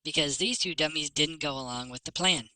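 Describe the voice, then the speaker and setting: Man voicing a sneering bright-voiced young werewolf bully. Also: a little high, fairly quick, no room sound, pitched above the performer's own voice. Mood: blaming. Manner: taunting, boastful. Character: bossy scornful young male werewolf trainee.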